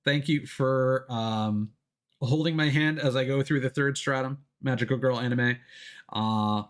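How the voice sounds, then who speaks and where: A man talking casually to his stream viewers as a game streamer, rambling hesitantly with frequent ums and pauses. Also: mid-range voice. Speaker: video game livestreamer.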